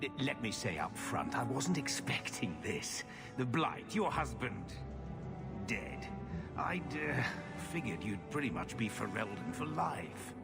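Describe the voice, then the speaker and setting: An actor playing a shifty, evasive middle-aged uncle in a video game cutscene, hesitant and stammering. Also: male voice, medium pitch, sing-song, a little slow, gravelly, loud music behind the voice. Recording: game score behind the voice.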